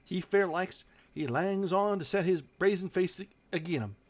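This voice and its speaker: A man voicing a gruff, sanctimonious old manservant, in halting, thick dialect. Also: high voice, dull audio, pitched above the performer's own voice. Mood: grumbling relish.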